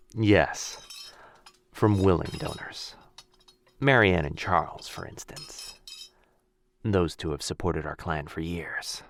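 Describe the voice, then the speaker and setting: A man playing a soft-spoken vampire lord. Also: low voice, animated, slow, long pauses, no echo, full character voice. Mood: gentle. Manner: soft-spoken, courtly and reassuring. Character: gentle, kind male vampire lord, centuries old. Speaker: voice actor. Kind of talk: ASMR boyfriend roleplay.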